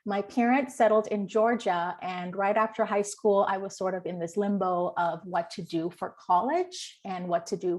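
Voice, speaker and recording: A woman in her thirties from Guyana, a former scholar now a communications manager, telling her story on a video call with earnest gratitude. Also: medium pitch. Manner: earnest, grateful, many um fillers. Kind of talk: personal testimony on a video call.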